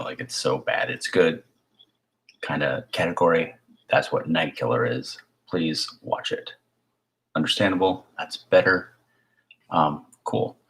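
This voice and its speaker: A man with a raspy voice hosting a casual live stream as a filmmaker, rambling in a chatty way and sometimes dropping to a whisper. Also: extremely low pitch. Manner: hushed at times, drifting into whispers; chatty, with fillers and restarts.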